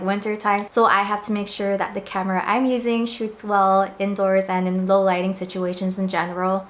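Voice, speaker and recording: A woman in her late twenties talking casually to camera indoors. She explains things in a chatty, upbeat way, and her voice is slightly husky from a swollen throat. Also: mid-range voice. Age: late 20s. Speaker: vlogger and blogger. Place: indoors at home.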